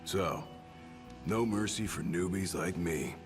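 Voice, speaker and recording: Brief: a cocky young guard, deep and blunt. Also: male voice, slow. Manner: blunt, cocky, casual speech. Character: brash young male royal bodyguard. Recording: game audio with music.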